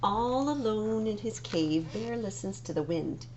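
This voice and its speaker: Female story-time reader, gravelly edge, expressive and measured picture-book delivery, noisy humming recording. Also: mid-range voice, lo-fi sound. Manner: expressive, deliberate storytelling for young children. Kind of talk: read-aloud picture book narration.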